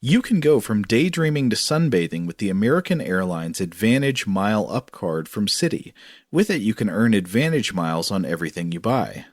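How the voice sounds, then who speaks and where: A man's voice: on the low side, big pitch swings, moderate pace, no room sound. A male podcast co-host talking in a conversational way, close to the microphone. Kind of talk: casual chat, scripted ad reads and listener mail.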